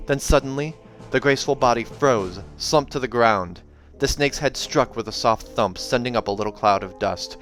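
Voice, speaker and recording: A man reading a fantasy novel aloud in an expressive narrating style, as a reader who also comments casually. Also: mid-range voice, moderate pace, few pauses, soft background music.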